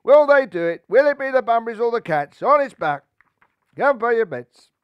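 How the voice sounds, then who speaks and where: Bookmaker, played by male reader: loud repetitive hawker's patter, pitched well up, dry storybook recording. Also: very animated, normal speed, clean tone, dry recording, highs cut off. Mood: eager hawking. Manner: shouting sales patter, repetitive. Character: loud hawking racecourse bookmaker drumming up bets.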